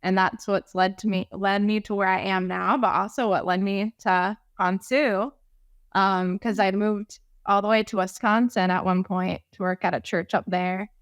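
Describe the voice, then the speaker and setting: A woman's voice: mid-range voice, steady tone, normal speed, clear, resonant tone, dry recording, highs cut off. A woman from Georgia who works in children's ministry, telling her background upbeat and chatty as a podcast guest. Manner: upbeat, chatty with many likes. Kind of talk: casual interview chat.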